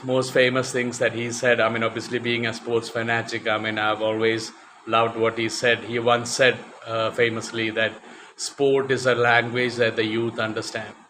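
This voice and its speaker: Bright-voiced man with halting speech. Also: lowish voice, a little hiss or hum. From West Africa. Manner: hesitant, many fillers and restarts. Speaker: vlogger. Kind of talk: casual talk to camera.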